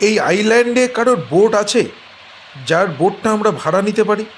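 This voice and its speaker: A man's voice: high voice, fairly quick, a little hiss or hum, close to an everyday voice. A man acting as a young man stranded on an island with his fiancée, in an audio drama. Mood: polite. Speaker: Mac.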